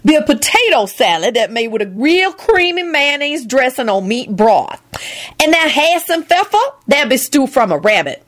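A woman's voice: high-pitched, very animated, normal speed, pitched above the performer's own voice. A woman voicing a bossy, outspoken older cook who grumbles and lectures in folksy, ungrammatical speech. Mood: lecturing. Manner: loud, folksy, ungrammatical talk with shrieks.

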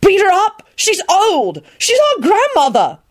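A woman narrator voicing a furious, exasperated grandson in an audiobook, indignant and shouting. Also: extremely high pitch, wildly swooping pitch, measured pace, voice pushed up, heavily acted.

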